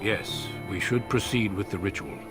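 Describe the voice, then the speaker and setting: A grave, low-voiced warrior commander. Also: male voice, lively intonation. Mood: solemn, measured. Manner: measured, formal, solemn. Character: grave, dutiful middle-aged male warrior commander of an order. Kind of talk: fantasy video game acting. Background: music.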